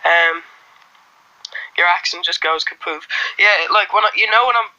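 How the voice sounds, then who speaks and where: A young man from Ireland, his Irish accent mostly worn away, talks into a phone held close in a small room. His voice sits a little high and comes across very bright and forward. He rambles loosely through viewer comments, full of ums and false starts. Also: moderate pace, lo-fi sound. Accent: faint Irish, mostly worn off. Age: young adult. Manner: rambling, relaxed, many fillers and restarts. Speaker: livestreamer. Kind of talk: casual livestream chat reading comments.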